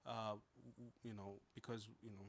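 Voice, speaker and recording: A man's voice: on the low side, little pitch movement, moderate pace, creaky, slightly rough, no room sound. A man in his thirties, a native Shona speaker, hosting a casual podcast, talking in a rambling way with many fillers. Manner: rambling, many fillers and restarts, laughs easily. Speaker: podcast host.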